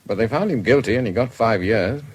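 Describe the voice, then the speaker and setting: An actor playing a jovial, brisk British police records man in a radio drama, chatty and clipped in his delivery. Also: mid-range voice, lively intonation, slightly rough, poor audio. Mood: matter-of-fact recounting. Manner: chatty, clipped sentences with bursts of amusement.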